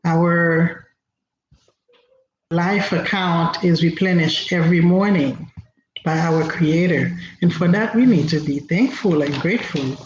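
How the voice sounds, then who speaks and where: A woman from Haiti whose first language is Haitian Creole, giving an inspirational talk as host, slow and reflective with frequent pauses. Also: on the low side. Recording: roomy, dull lo-fi recording with faint noise.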